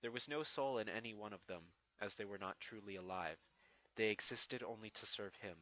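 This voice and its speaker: A man's voice: on the low side, a little fast, sharp tone, dry recording, poor audio. A man giving a dramatic scripted narration of a fantasy fan fiction story.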